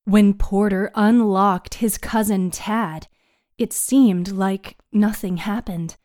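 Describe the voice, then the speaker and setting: A woman narrating a scripted story recap in a measured, dramatic storytelling manner. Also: mid-range voice, fairly even intonation, a little mellow, dry recording, clean studio sound.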